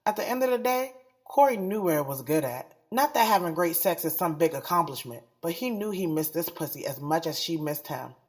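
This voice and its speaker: A woman's voice: on the low side, animated, fairly quick, husky. A woman playing a frank, sassy young woman in an audio drama, candid and slangy as she tells of her love life. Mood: confessional, frank. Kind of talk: first-person audio drama narration and dialogue.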